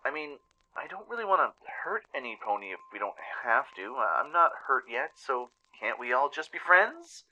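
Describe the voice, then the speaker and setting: A man's voice: medium pitch, big pitch swings, normal speed, pushed and bright, dull audio, pitched above the performer's own voice, exaggerated voice. A man voicing a meek, anxious, naively curious young pony in a radio play, with flustered questions and stammers. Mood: meek, pleading.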